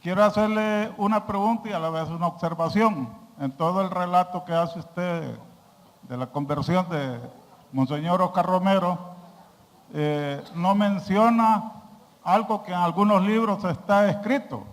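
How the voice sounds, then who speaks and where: A man from Honduras with a Honduran accent, putting a question from the audience in a deliberate, courteous manner. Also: sits high. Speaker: audience member asking a question. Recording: echoey hall.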